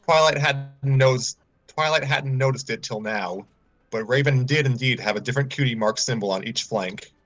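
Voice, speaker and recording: A man's voice: medium pitch, moderate pace, a bit bright. Man reading a story with faint music. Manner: stumbles and repeats phrases. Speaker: story reader on a livestream. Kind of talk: storybook narration, read aloud informally.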